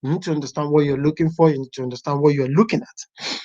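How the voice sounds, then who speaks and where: Testing teacher clicking thru a site and thinking out loud, muffled lo-fi call sound. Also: medium pitch, fast talker. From Nigeria. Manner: explains step by step, thinks aloud while browsing. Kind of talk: live class walkthrough. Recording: dull lo-fi online class audio.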